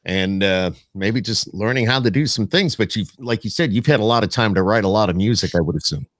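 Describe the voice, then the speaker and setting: A man's voice: on the low side, fairly quick, dry recording, studio quality. A man hosting a podcast, interviewing guests in a casual, chatty style. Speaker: podcast host.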